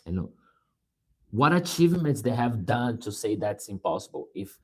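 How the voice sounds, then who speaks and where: A man's voice: on the low side, a little mellow, breathy edge. A man from Brazil speaking English with a Brazilian Portuguese accent, giving an earnest motivational talk on a live stream and often asking "right?". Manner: earnest, repeats words and restarts, asks "right?".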